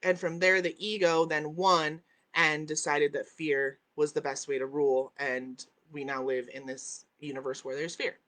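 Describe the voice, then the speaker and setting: A woman's voice: low voice, little pitch movement, moderate pace, a bit bright. A woman hosting a spiritual live stream from home, teaching with emphatic repeated phrases and a habit of asking "right?". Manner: reads out and answers viewer comments. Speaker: host. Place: at home by a window, with dogs in the house.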